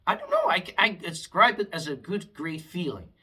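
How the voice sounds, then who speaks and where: A man's voice: high voice, huge pitch swings, normal speed, sharp tone, breathy edge. A man, delivering a heated, rambling vlog monologue full of restarts. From Afghanistan. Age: 20s. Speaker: vlogger.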